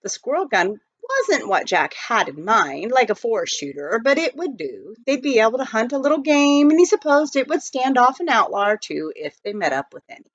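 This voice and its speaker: A woman reading a children's novel aloud in an expressive storytelling style. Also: fairly high pitch, normal speed, hardly any pauses. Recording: dull lo-fi home recording.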